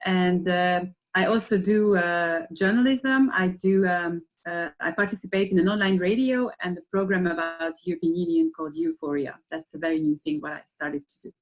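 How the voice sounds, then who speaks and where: A woman's voice: on the low side, moderate pace, hardly any pauses. Plain-spoken woman from Hungary. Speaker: former diplomat, civic activist. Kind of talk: self-introduction on a video panel.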